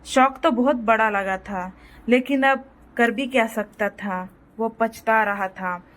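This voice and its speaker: A woman's voice: on the high side, slow, fairly bright tone. A woman storyteller giving scripted narration for a moral cartoon story.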